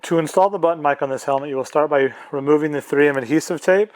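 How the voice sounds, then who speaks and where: Male product presenter, voice a touch high, plain step-by-step tutorial delivery. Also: fast talker.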